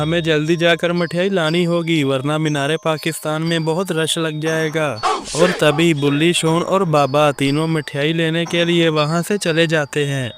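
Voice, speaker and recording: High-pitched man narrating a cartoon story. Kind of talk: scripted narration of a comic children's horror cartoon, voicing all dialogue himself. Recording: music behind the voice. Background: music.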